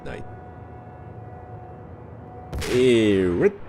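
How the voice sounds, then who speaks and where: A man's voice: fairly low pitch, very animated, slow pace, warm tone, soft background music. A man in his thirties with a Polish accent, chatting loosely with viewers and swearing at the game as he streams. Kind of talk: casual livestream chat over gameplay. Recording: noisy game audio underneath. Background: game gunfire and ambience.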